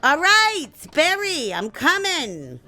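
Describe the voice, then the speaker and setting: A woman's voice: high voice, wildly swooping pitch, slow, sharp tone, faint music behind, heavily acted. A woman playing a chatty, gossipy New mother in her sixties who brags about her son and drifts off on tangents. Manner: kvetching, name-dropping, tangents. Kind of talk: comedic sketch monologue.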